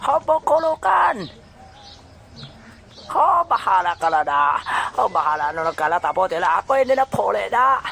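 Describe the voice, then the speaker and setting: An actor doing a creaky, comic schemer. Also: mid-range voice, very animated, bright, forceful tone, slight background noise, full character voice. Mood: scheming, evasive. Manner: broken, repetitive, halting speech. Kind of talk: comic audio drama acting. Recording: music behind the voice.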